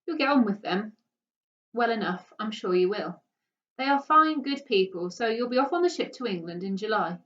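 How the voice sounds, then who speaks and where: A woman's voice: mid-range voice, expressive, moderate pace, fairly bright tone, natural voice. A woman voicing a firm, formal, matter-of-fact father in a storybook reading. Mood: firm lecturing. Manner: formal, lecturing. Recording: dull, distant microphone.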